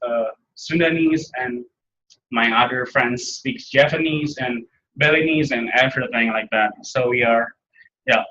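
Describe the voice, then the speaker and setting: A young man from Indonesia with an Indonesian accent, giving a seminar talk in halting English with many fillers and restarts. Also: mid-range voice, pushed and bright, lo-fi sound, a little hiss or hum. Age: young adult. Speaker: seminar presenter. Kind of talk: academic slide presentation. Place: seminar room.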